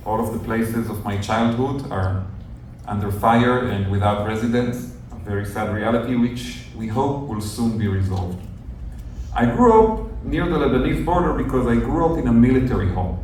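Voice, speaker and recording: A young adult man, a journalist telling a group his story in an earnest, measured, explaining way. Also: mid-range voice. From northern Israel. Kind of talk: storytelling talk to visitors. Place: outdoors at a kibbutz near the Gaza border. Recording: very echoey, distant outdoor recording with faint noise.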